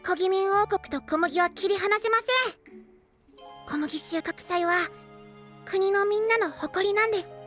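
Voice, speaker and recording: A man voicing a young, polite, earnest boy knight in an anime-style voice drama, with soft childlike speech. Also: extremely high pitch, a little slow, soft background music, heavily acted. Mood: proud, wistful.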